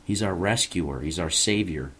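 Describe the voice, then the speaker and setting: A man's voice: low-pitched, normal speed, a bit bright, husky. A man hosting a show, preaching an earnest evangelistic message and urging listeners to turn to God. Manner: earnest, exhorting, rising on key phrases. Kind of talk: evangelistic sermon.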